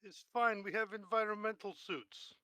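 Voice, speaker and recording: A man's voice: high voice, fairly even intonation, moderate pace, bright, forceful tone, dry recording. A man chatting casually as a player in a tabletop role-playing game.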